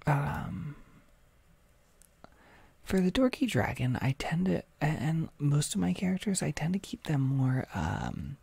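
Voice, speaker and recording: A young adult with an American accent chats casually on a livestream, reading and answering comments in a soft-spoken, hesitant, rambling way. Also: mid-range voice, very animated, unhurried, creaky, slightly rough, no room sound. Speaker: ASMR audio creator livestreaming.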